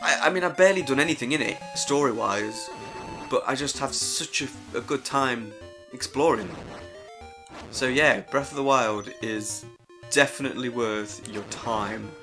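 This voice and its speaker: A man's voice: medium pitch, a little slow, music in the background. A man hosting a casual, unscripted gaming audio log, rambling with hesitant but enthusiastic, informal, sweary delivery.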